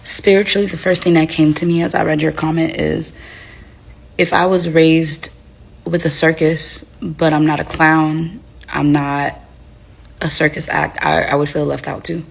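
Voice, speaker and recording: Woman from Haiti, life coach, low flat voice, husky, tired and headachy, reflective with lots of fillers. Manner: reflective, unhurried, with frequent fillers and restarts. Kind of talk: casual talking-to-camera advice.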